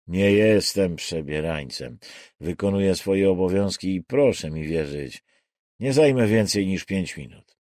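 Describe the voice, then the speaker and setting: Man acting a low, gruff, menacing fake policeman. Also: measured pace, no room sound, clean studio sound. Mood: insistent. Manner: insistent, tired, calmly threatening. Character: low, weary, rough-voiced male intruder posing as policeman, menacing.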